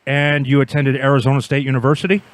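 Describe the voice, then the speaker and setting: A man of middling pitch hosts the program and leads the interview. He asks in a measured, politely probing way. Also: flat delivery, a little hiss or hum. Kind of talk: interview hosting.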